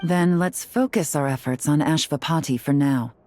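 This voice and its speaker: A woman's voice: low-pitched, moderate pace, a little mellow, slight background noise, close to an everyday voice. A woman playing a cool, self-assured covert investigator in a video game cutscene, confident and matter-of-fact.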